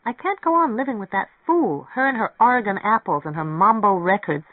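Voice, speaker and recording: Impulsive young woman in a novel, acted by the narrator: high, emphatic, excitable, on thin phone-like audio. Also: a little fast, no echo, natural voice. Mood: exasperated.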